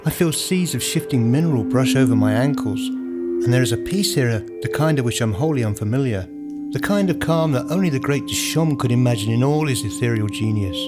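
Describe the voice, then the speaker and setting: A man reading scripted first-person literary narration in a measured, formal manner, as the narrator of a radio play. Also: mid-range voice, expressive. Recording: voice over prominent music. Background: music.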